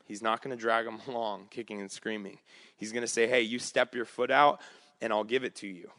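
A man preaching a conversational sermon, his voice slightly raspy, with frequent fillers and restarts. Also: on the low side, fairly even intonation, fairly quick, a bit bright, no room sound. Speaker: pastor.

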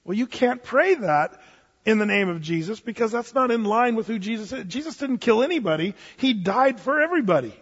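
Male pastor, high-ish voice, conversational sermon with playful asides; muffled sound in a reverberant sanctuary. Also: expressive, brisk, fairly bright tone, husky. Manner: conversational, joking asides, acts out quoted voices. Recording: dull sound with long hall reverb.

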